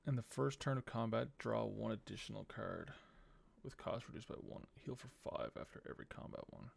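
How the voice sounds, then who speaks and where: A man's voice: lowish voice, brisk, gravelly, husky. A man in his thirties doing casual game commentary, muttering as he thinks aloud and reads on-screen text. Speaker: game streamer. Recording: game music behind the voice. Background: video game music.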